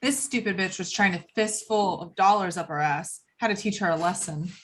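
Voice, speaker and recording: A woman's voice: lowish voice, normal speed, background noise, lightly acted. Woman acting a brash, sassy tough woman. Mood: scornful. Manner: sassy, bossy.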